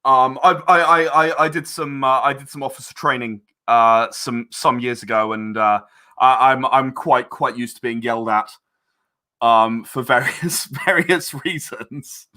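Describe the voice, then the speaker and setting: A man speaking as a guest in a casual podcast interview, halting and rambling as he explains. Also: medium pitch, fairly even intonation, bright, forceful tone. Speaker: interview guest, audio drama producer.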